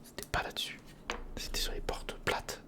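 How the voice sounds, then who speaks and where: An ASMR creator whispering informal, step-by-step commentary to the viewer while building something by hand, close to the microphone. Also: moderate pace. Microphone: close recorder or studio microphone.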